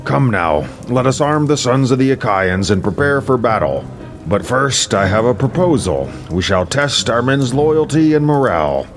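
A man voicing a proud, commanding ancient Greek king in an epic audio drama, with loud, declamatory oratory. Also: medium pitch, big pitch swings, normal speed, music in the background, pitched above the performer's own voice. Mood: scheming, commanding.

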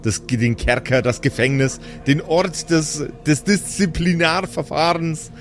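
A man narrating an improvised tabletop audio drama as game master, deliberate, repeating and rephrasing as he goes. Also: fairly high pitch.

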